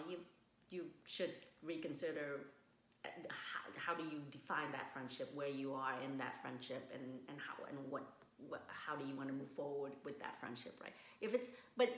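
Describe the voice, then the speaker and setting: A woman from Vietnam, a guest in a casual interview, speaking thoughtfully with many restarts and pauses. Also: sits low, sharp tone. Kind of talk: casual conversation. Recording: roomy echo.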